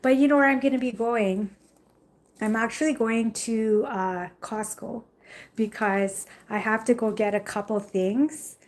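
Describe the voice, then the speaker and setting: A talkative young woman chatting with her viewers on a live stream, recorded on the phone she holds in her hand. Her voice sits a little high, and she rambles easily, full of casual fillers. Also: steady tone, measured pace. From Jamaica. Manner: chatty, rambling, frequent "like" and "you know". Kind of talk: casual live chat reading viewer comments. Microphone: phone held in hand.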